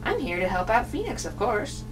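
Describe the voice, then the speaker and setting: A woman voicing a calm, wise older sister spirit in a puppet show, patiently giving advice. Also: medium pitch, moderate pace, far from the mic, natural voice, poor audio. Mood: advising. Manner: patient, advising.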